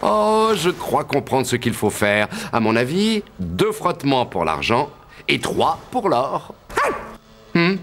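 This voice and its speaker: An actor playing a cheerful, naive young soldier in an animated fairy tale, expressive and full of exclamations. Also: male voice, medium pitch, slow, exaggerated voice. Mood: amazed, delighted. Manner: expressive, exclamatory, talking to himself. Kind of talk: French-language animated fairy-tale dub.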